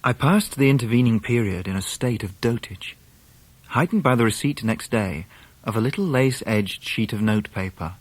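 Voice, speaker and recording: An actor playing a genteel Victorian gentleman who narrates his youth in a radio drama, with an English accent and a measured, wry, literary manner. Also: lowish voice, lively intonation, dry recording. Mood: wry, fond. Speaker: narrator in character.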